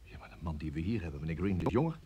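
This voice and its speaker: An actor playing a cool, formal security service interrogator in a radio play. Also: male voice, low-pitched, animated, warm tone, slight background noise. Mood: uneasy, reporting. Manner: formal, measured.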